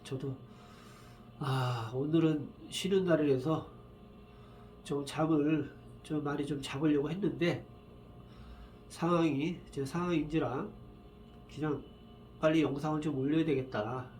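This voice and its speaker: Man, fairly high voice, rough from a cold, tired, rambling, halting with fillers, casual fortune-reading monologue. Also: steady tone, a little mellow, slight background noise. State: tired, just woken up. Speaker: saju fortune reader. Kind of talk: casual solo video monologue.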